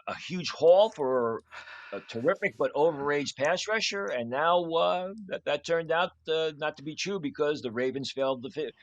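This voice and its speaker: Hoarse older man, bright dry voice. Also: medium pitch, no room sound. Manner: storytelling, matter-of-fact. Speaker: draft analyst. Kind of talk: casual podcast chat.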